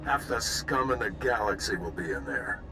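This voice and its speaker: Forceful, blunt admiral. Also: male voice, fairly low pitch, sing-song, normal speed, big-room sound, soft background music. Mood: commanding. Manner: blunt, commanding, salty. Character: gruff, pugnacious male military admiral. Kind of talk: video game voice acting.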